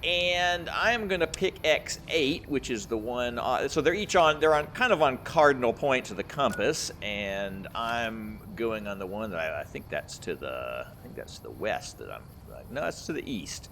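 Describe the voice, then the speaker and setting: A man's voice: mid-range voice, expressive, normal speed, hardly any pauses, a bit bright, slight background noise. A man giving casual gameplay commentary as a channel host, rambling and thinking aloud.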